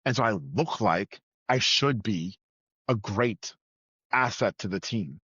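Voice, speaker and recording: A man's voice: fairly low pitch, lively intonation, slow pace, fairly bright tone, slightly rough, no room sound. A man from Trinidad and Tobago telling his story as a podcast guest, conversational and emphatic, repeating phrases for weight. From Trinidad and Tobago, moved to the US at nine. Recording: slightly dull, lo-fi sound.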